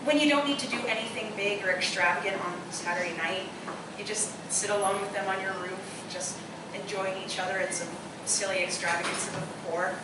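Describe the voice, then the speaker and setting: A woman's voice: mid-range voice, fairly quick, lightly acted. A woman acting in a live radio drama, playing a blunt, skeptical woman who still hopes for love. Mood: wistful, earnest. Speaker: actor. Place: roomy live performance space, far from mic. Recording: very echoey, distant live recording, clear noise.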